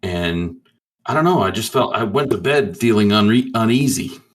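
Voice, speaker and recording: A man roleplaying in a tabletop game, telling his story in a halting, laid-back, profane way. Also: fairly low pitch, expressive. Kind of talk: casual in-character storytelling.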